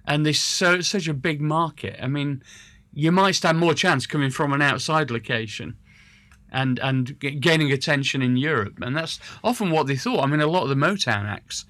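A man interviewing a guest in a relaxed, rambling way, full of hesitations and restarts. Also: a little high, moderate pace, no echo. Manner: rambling, hesitant, self-correcting. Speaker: interviewer and musician. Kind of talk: casual conversational interview.

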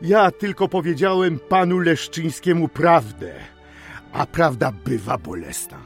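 A man's voice: fairly high pitch, animated, a little slow, vocal fry, faint music behind. An actor playing a haughty, class-conscious father in a historical radio drama, talking in a pompous, condescending, cutting way. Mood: condescending, indignant.

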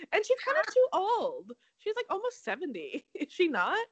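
A woman's voice: extremely high pitch, huge pitch swings, a little slow, no echo, muffled recording. A young woman from Connecticut co-hosting a casual gossip chat, blunt and catty, laughing often and filling with ums and likes. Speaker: podcast co-host.

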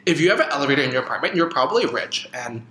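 A young man in his twenties co-hosting a casual TV recap chat, animated and exaggerated, saying "like" constantly. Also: mid-range voice, rapid-fire, slight background noise. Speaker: co-host. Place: room in Canada. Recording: roomy echo.

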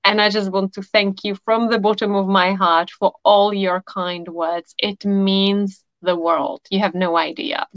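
A woman from the Republic, a podcast guest telling stories in a heartfelt, unhurried, reflective way. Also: mid-range voice, level tone, no echo. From Czech Republic. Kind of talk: warm storytelling chat. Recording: dull dry lo-fi audio.

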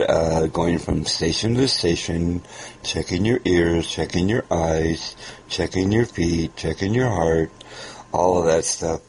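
A man's voice: deep voice, a little mellow, breathy edge, poor audio. A man hosting a podcast, giving an informal, explanatory introduction to an old radio show. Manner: unhurried, explanatory.

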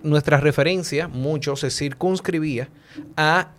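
A man's voice: on the high side, unhurried, slight background noise. A man from the Dominican Republic with a Dominican accent, a guest in a casual philosophical chat, thinking aloud with many fillers and asides. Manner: talks things through with many fillers and "¿tú entiendes?" asides.

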